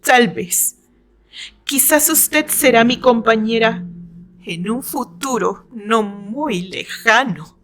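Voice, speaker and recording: A woman voicing a fragile, tormented, tearful woman, bitter and resigned, with halting, breathy theatrical delivery in an audio novel. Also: fairly high pitch, fairly bright tone, a little hiss or hum. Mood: ominous warning. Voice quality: thin, whispery voice at moments.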